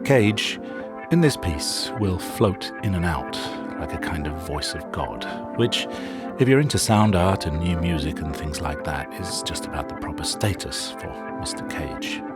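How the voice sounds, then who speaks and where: A man hosting a sound documentary, narrating a scripted introduction in a measured, wry storytelling manner. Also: deep voice, animated. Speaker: show host and narrator. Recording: narration over loud music.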